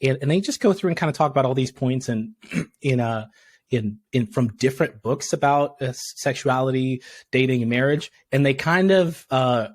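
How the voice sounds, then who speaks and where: A young man from Tanzania, a podcast guest, chatting casually and acting out quotes with sudden jumps in pitch, laughing often. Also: normal speed, dry recording. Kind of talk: casual interview chat.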